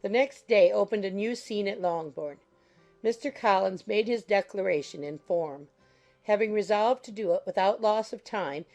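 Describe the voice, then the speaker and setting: Woman reading an audiobook, creaky mid voice, literary narration, bit of music under it. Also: mid-range voice, a little mellow. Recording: faint music behind the voice.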